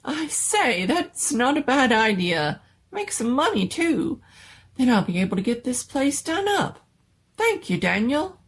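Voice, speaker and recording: Woman acting a flustered, high-strung male doctor, pitched up. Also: big pitch swings, measured pace, breathy edge, full character voice. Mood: brightening. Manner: exclamatory, pompous, theatrical. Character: agitated, fussy old-fashioned male doctor inventor.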